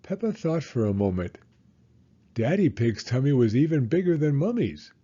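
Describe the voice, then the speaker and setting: A man reading a children's storybook aloud in an expressive style. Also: medium pitch, normal speed, slightly rough, muffled recording. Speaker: storybook reader.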